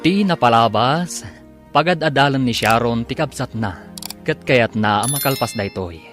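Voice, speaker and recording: A man's voice: medium pitch, moderate pace, fairly bright tone, soft background music. A man with an Ilocano accent, a radio drama announcer reading scripted, dramatic narration.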